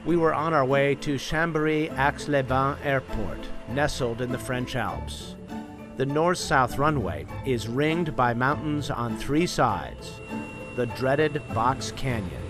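A man with a medium-pitched voice delivers scripted documentary narration. He tells it in the first person as a reporter. Music plays clearly behind him. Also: lively intonation, slow pace. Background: clear background music.

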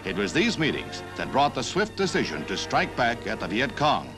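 American newsreel announcer over prominent music. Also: male voice, mid-range voice, huge pitch swings, moderate pace. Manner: formal, declarative announcer delivery. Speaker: newsreel narrator. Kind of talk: 1960s newsreel narration, scripted. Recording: 1960s newsreel soundtrack with music.

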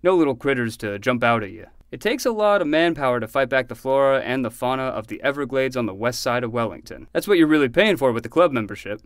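A man's voice: medium pitch, lively intonation, fast talker, few pauses, clear, resonant tone, no echo, clean studio sound, natural voice. A man playing an easygoing, protective uncle in a fiction podcast, conversational and matter-of-fact. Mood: casual, wry. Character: easygoing, protective adult uncle, mildly flustered.

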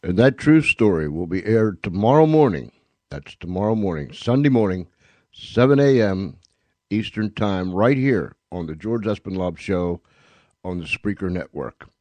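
A man's voice: sits low, animated, normal speed, darkish tone, no echo. A man hosting a radio show, making casual, unscripted announcements to listeners in a rambling, repetitive way.

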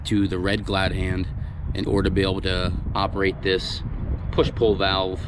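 A man's voice: deep voice, completely flat delivery, normal speed, noisy background. A man explaining a trailer repair outdoors in a plain-spoken, hands-on way, with frequent fillers, recorded on a body-worn camera. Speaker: truck repair technician. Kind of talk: hands-on technical demonstration. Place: outdoor gravel lot by trailers.

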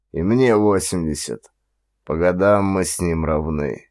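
An old ex-military beggar of eighty , acted out by a male narrator, speaks in a low, hoarse voice. He is proud and courtly, drawing out his formal words with dignity, as in a literary audiobook. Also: darkish tone. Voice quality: hoarse, unpleasant. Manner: slow, formal, dignified old-fashioned speech.

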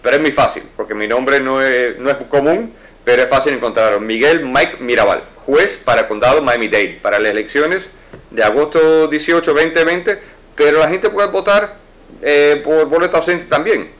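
A man in his forties answers interview questions from behind his office desk. He speaks in a middle-pitched voice, fluently and in an explanatory way, with quick asides. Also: a bit bright. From United States. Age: 40s. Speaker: lawyer and judicial candidate. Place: wood-paneled office, indoors.

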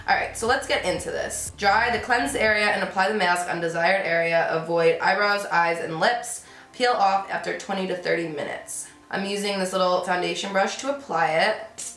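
A woman's voice: lowish voice, a bit bright. Young woman beauty vlogger, stuffy with a cold, chatty, roomy room with music behind. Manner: chatty, lots of "like", giggly. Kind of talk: casual chatty vlog. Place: bedroom with decorated shelves.

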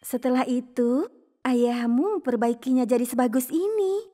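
A kind deer kindergarten teacher, speaks politely in a high voice. It is children's cartoon acting with faint music behind. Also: female voice, lively intonation, normal speed, warm tone. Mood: gentle praise. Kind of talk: animated cartoon dub acting.

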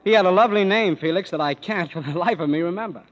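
A man's voice: high voice, fast talker, close to an everyday voice. An actor playing a cynical young male musician in his twenties, firing off dry sarcastic wisecracks, in a 1930s radio play. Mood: sardonic. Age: 20s. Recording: dull old radio broadcast, long hall reverb, music behind.

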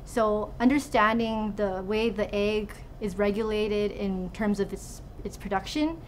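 A woman's voice: medium pitch, a little slow, a little hiss or hum. A woman in her twenties from Hawaii, a student researcher giving informal interview answers in a laboratory. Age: 20s. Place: indoors in a laboratory.

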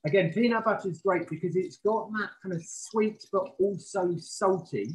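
A man's voice: high-pitched, normal speed, rough recording. Guy chef doing a live cooking demo on video call, chatty explaining, standing in a kitchen. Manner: explanatory, informal. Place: professional kitchen, indoors. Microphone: video call, standing back from camera.

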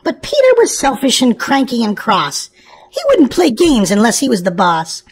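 High, very animated woman reading to children. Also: moderate pace, slight background noise. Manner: exclamatory, addressing young children. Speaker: children's show host and storybook reader. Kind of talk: animated children's read-aloud narration.

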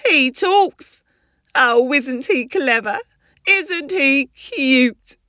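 A woman's voice: on the high side, big pitch swings, unhurried, bright, forceful tone, no echo, studio quality, voice pushed up, exaggerated voice. A woman voicing a devoted, affectionate mother dinosaur who gushes in a children's storybook. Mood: delighted, doting. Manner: gushing, affectionate.

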